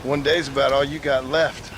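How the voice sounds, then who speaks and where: A man's voice: medium pitch, little pitch movement, moderate pace, very noisy, natural voice. A man playing a grim, vengeful former outlaw of the American frontier in a video game, terse and bitter, with an American accent. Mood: stern. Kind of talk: video game cutscene acting.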